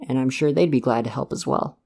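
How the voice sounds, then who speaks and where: Man playing a supportive, awkward dad, creaky voice. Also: medium pitch, fast, a little mellow. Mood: protective, earnest. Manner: hesitant, reassuring, rambling, earnest. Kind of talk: ASMR roleplay, one-sided conversation with listener.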